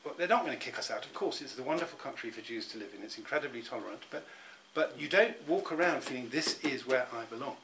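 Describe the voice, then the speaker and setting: A man's voice: medium pitch, lively intonation, fast, hardly any pauses. Middle-aged man, reflective interviewee, stop-start delivery, faint hum behind. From England. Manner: thoughtful, many restarts and repeated words.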